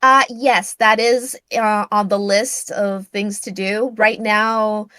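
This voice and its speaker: A woman's voice: mid-range voice, steady tone, slow, pushed and bright, no echo, clean studio sound. A woman whose first language is Spanish, speaking English as a guest in a casual interview, friendly, with light laughs between phrases.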